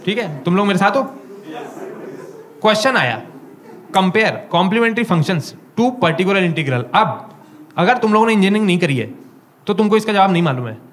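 A young man with an Indian accent doing a stand-up comedy set in a small club, telling stories with animated acted-out dialogue into a handheld mic. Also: high-pitched, moderate pace, pauses often, slight background noise. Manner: animated storytelling, acting out dialogue. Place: small comedy club stage before a live audience. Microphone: handheld stage mic.

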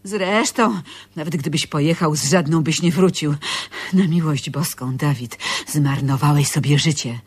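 An older actress playing a wistful, complaining sister in a radio drama, with a plaintive, theatrically reproachful manner. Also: female voice, deep voice, unhurried, slightly rough. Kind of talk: naturalistic radio drama acting.

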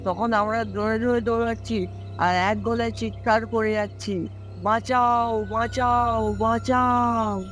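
Casting: male actor as timid village youth and narrator for horror cartoon, high-pitched, music clearly behind. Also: fairly even intonation, a little slow. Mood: frightened. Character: timid, worried young village man telling his tale. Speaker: narrator and character. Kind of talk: cartoon ghost-story narration and acting.

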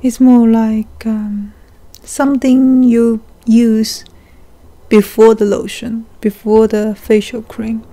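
A woman's voice: on the high side, little pitch movement, takes it slowly, dark tone. A woman co-hosting a podcast in casual, flirty ASMR chat, playful and teasing, close to the microphone. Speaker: podcast co-host.

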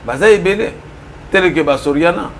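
A middle-aged Congolese-accented man lays out political arguments in an interview, switching between Lingala and French. His voice sits a bit high and his points land with emphasis. He speaks in a small office room over a faint hum of ambience. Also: moderate pace. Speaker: political commentator. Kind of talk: studio interview.

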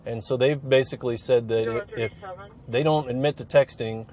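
Flat-voiced officer explaining the rules. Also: male voice, mid-range voice, normal speed. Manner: even, explanatory. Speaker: police officer. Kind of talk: interview to camera. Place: in a parked vehicle.

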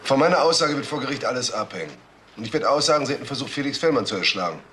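A man playing a calm, shrewd police detective in a crime radio drama, measured, terse and commanding. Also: medium pitch. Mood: coolly pressuring. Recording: clearly noisy track with clicks.

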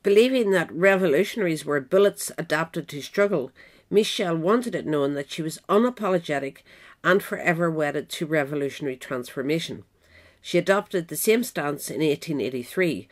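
A woman reading scripted narration in a measured, formal manner, as the narrator of a pamphlet. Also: low voice.

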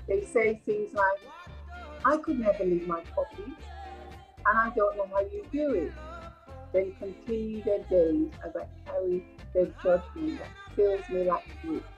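A woman's voice: medium pitch, measured pace, faint music behind, lightly acted. An older woman with a Caribbean accent reading the part of a reflective, homesick migrant nurse in a play, over a video call. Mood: weary, reflective. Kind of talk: rehearsed play reading, monologue. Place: indoor room at home, video call. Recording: muffled video-call audio.

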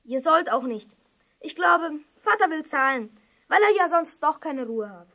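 A woman playing an eager, excitable child detective who talks urgently in a children's audio drama. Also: high voice, measured pace, heavily acted. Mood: earnest worry.

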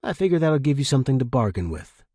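A man voicing a guarded, resolute space outpost worker in an audio story, measured and blunt. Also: medium pitch, big pitch swings, dark tone, slightly rough, dry recording, clean studio sound. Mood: calm, calculating.

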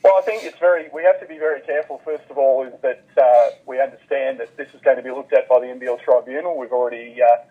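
Over a narrow telephone line, a male commentator gives careful, measured analysis. His voice is husky and mid-pitched, and he qualifies his points as he goes. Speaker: basketball commentator, interview guest. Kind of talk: phone interview analysis.

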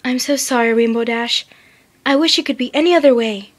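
A woman's voice: a little high, brisk, natural voice. A woman reads as a stern female unicorn pony with a raised, firm voice. She reasons insistently, like a leader, in a fan story reading. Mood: sorrowful.

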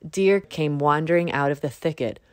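A woman narrating a children's picture book in a measured read-aloud manner. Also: sits low, dry recording, studio quality. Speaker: storybook narrator. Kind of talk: scripted children's story narration.

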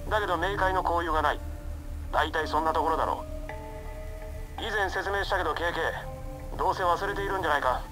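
A man's voice: fairly high pitch, brisk, long pauses, over music, low-quality recording. A man voicing a precise, detached expert leaving formal recorded instructions in a video game. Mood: knowing. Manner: formal, explanatory, matter-of-fact. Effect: played-back voice recorder message. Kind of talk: cinematic video game voice acting.

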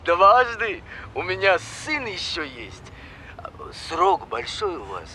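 An actor playing a good-natured, talkative grandfather in an audio drama phone dialogue, gentle and sometimes hesitant. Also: high-pitched, huge pitch swings, very slow, slight background noise, full character voice. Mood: animated, friendly. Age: middle-aged or older.

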